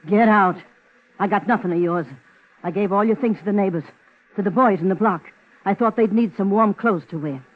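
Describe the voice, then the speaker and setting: A woman's voice: mid-range voice, brisk, narrow, tinny audio, lightly acted. An actress playing an anguished, embittered woman with wounded, accusing delivery in a 1950s radio crime drama, on a thin old recording. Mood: accusing, bitter.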